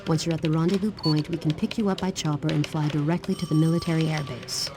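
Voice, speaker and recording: A woman's voice: deep voice, fairly even intonation, fast, very noisy, close to an everyday voice. A woman playing a businesslike military handler in a game, giving clipped, formal, authoritative orders. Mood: firm. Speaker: mission controller. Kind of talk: scripted military briefing.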